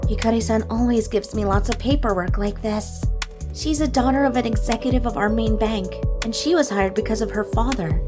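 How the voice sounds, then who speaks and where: A woman voicing a high-pitched, timid young part-timer. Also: fairly quick, over music, lightly acted. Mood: confiding. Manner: meek, hesitant, stammering when flustered. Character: timid, hardworking young part-timer who dreams of designing. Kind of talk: scripted first-person manga dub narration.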